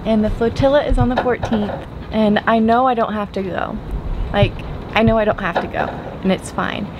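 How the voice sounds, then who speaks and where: A woman's voice: on the high side, moderate pace. Young woman, chatty vlog talk, handheld camera at arm's length, outdoors on a boat, noisy wind behind. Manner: chatty, thinking aloud with fillers. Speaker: sailing vlogger. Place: outdoors on a sailboat deck at anchor. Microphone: camera held at arm's length.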